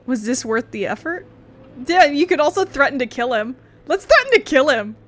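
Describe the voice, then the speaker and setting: A woman's voice: high-pitched, expressive, normal speed. A woman playing through a video game in a playful, casual way, commenting and reading text aloud. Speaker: gaming video host.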